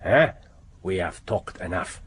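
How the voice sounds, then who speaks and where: An actor playing a commanding, wry older Japanese intelligence chief in a radio drama, with a Japanese accent and formal, deliberate phrasing. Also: male voice, low voice, lively intonation, fairly bright tone, exaggerated voice. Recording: noisy radio drama recording.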